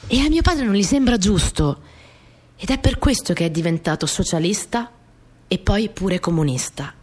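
A woman's voice: mid-range voice, animated, normal speed, darkish tone, slightly rough. An actress playing a young peasant girl in a radio monologue, telling her story in a naive, colloquial way, repeating phrases for emphasis. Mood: earnest, confiding. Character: young Italian peasant girl, earnest, plainspoken, stubborn storyteller. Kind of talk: dramatic monologue in a radio play. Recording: music behind the voice.

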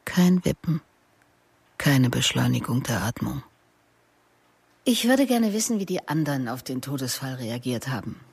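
A low-voiced female detective, observant and sceptical. She speaks in clipped, matter-of-fact phrases, as in the terse inner monologue of a radio crime drama. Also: sing-song, normal speed, creaky, long pauses, a little mellow, slightly rough, dry recording, exaggerated voice. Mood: probing. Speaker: actress playing the lead detective and narrator.

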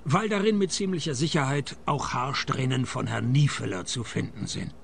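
Actor playing a deadpan, gravelly private detective. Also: male voice, mid-range voice, normal speed, slight background noise, natural voice. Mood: sardonic. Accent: Swiss. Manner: deadpan, sardonic. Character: wry, laconic male private detective who loves whisky. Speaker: lead actor and narrator. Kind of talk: radio play dialogue and first-person noir narration.

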